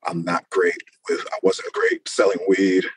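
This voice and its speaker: A man's voice: deep voice, wildly swooping pitch, unhurried, slightly rough, dry recording. A man chatting casually as an interview guest, loose, slangy and freely swearing. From Queens, New York, United States. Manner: slangy, profane, loose and conversational. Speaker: interview guest, rap musician.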